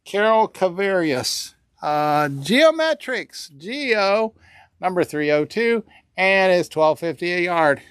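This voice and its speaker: An older man with a high, smooth and clear voice shows fabrics in a shop, rambling through each bolt with plenty of "uh"s before cheerfully naming the price. The sound is dry and close, picked up by a small camera on the counter. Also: expressive, slow pace, fairly bright tone, no echo. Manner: rambling, many fillers, upbeat price calls. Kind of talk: casual product showcase. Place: indoor fabric store among shelves. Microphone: small camera on table nearby.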